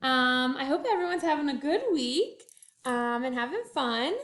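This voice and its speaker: A woman speaking as a first-grade teacher at home, chatting to young children and reading a picture book aloud with expressive, drawn-out storytelling and sung refrains. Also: high voice.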